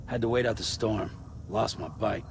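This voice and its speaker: An actor playing a gruff, weary, solitary deliveryman in a video game, terse and reluctant. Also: lowish voice, normal speed. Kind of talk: video game cutscene acting. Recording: clear background noise.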